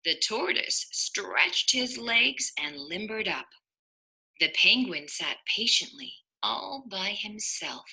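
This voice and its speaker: A woman reading a picture book aloud to young children as a kindergarten teacher, expressive and deliberate, with dramatic emphasis. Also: medium pitch, vocal fry, slightly rough, low-quality recording.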